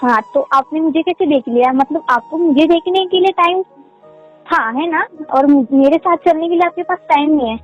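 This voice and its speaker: A woman's voice: high voice, moderate pace, natural voice. A young woman playing a defensive, aggrieved girlfriend in a casual, insistent phone-call argument. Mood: indignant, pleading. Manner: insistent, questioning. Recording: muffled lo-fi call sound under music.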